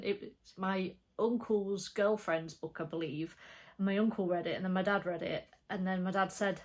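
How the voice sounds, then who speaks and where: A woman, a bit bunged up with a cold, chatting casually and rambling in a craft vlog at home. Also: medium pitch. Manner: rambling, chatty, soft-spoken with frequent fillers. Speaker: flosstube vlogger. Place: her home.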